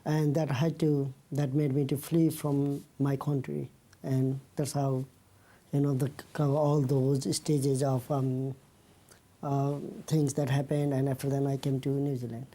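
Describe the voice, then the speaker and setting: A woman from Nepal, a guest in an interview, speaking in a hesitant, halting way. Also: a bass voice, level tone, dark tone.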